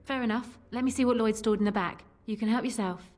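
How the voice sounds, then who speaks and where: A woman's voice: fairly high pitch, fairly even intonation, fast, a little mellow, close to an everyday voice. An actress playing a friendly, helpful young tavern woman in a plain conversational manner. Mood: relieved, friendly.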